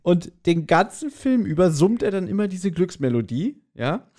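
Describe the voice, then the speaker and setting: A man co-hosting a podcast, telling anecdotes in casual, animated chat and acting out bits as he goes. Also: high voice, moderate pace. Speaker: podcast co-host.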